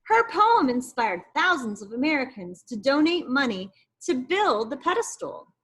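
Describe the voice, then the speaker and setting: A woman's voice: high-pitched, sing-song, normal speed, slightly rough, full character voice. A woman voicing an informative female park ranger from a children's book, explaining things to a school class.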